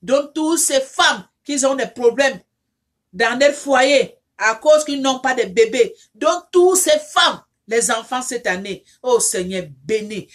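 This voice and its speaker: Fervent woman praying, non-native French. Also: on the high side. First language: not French. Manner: repetitive, fervent, with many restarts and fillers; rises into loud insistent pleading when praying. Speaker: online counselor leading prayer. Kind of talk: informal prayer and appeal to viewers.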